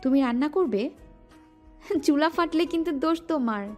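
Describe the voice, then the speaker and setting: Lady doing a playful pouty young wife, kinda high voice, soft music behind. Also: lively intonation, moderate pace. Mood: playful, affectionate. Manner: teasing, pouting. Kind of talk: romantic audio drama acting.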